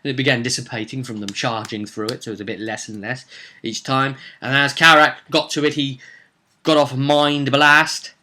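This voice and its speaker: A man's voice: medium pitch, level tone, normal speed, pushed and bright. A man casually retelling a tabletop roleplay session as game master, telling it dramatically and repeating phrases for suspense. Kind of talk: casual animated storytelling recap.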